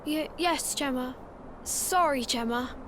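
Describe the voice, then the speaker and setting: Small village child, very high-pitched, timid, apologetic, stammering, game cutscene, noisy ambience behind. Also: female voice, slow pace. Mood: sheepish, apologetic. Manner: sheepish, stammering. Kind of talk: video game cutscene voice acting.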